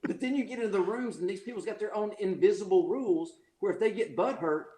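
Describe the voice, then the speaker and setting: A man's voice: high voice, fast, dark tone, roomy sound. A man in his forties, a podcast guest, telling crude stories and laughing in casual chat. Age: 40s.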